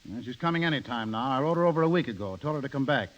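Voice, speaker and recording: An actor playing a proud, wounded middle-aged American businessman with a blunt, forceful way of talking, in 1930s radio drama style. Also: mid-range voice, lively intonation, very fast, natural voice. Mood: gloomy, impatient. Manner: blunt, forceful, plain-spoken. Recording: dull, lo-fi 1930s radio recording.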